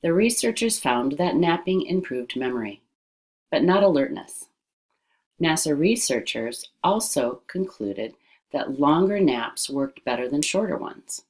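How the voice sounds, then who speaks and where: A woman with a low-pitched voice reads an informational passage aloud. She follows a script in the manner of an educational narrator. Her words are carefully and deliberately pronounced. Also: a little mellow. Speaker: reader of an educational text.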